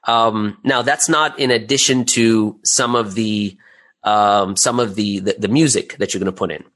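Guy with a lowish voice being interviewed, chatty, walks you through stuff step by step. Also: steady tone, normal speed, a bit bright. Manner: conversational, step-by-step explaining with rhetorical "right?" check-ins. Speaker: interview guest, audio drama creator and voice actor. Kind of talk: casual interview explanation.

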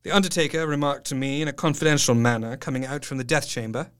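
An actor playing a sorrowful, earnest doctor who narrates a gothic tale in measured, literary phrases. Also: male voice, medium pitch, fairly bright tone, clear, resonant tone, lightly acted. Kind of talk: dramatic scripted narration.

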